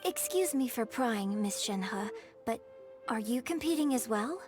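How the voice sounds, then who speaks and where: A woman's voice: a little high, lively intonation, a little slow. A woman playing a refined, courteous young opera performer in a video game, speaking formally and articulately. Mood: politely proposing. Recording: clear background music under the voice.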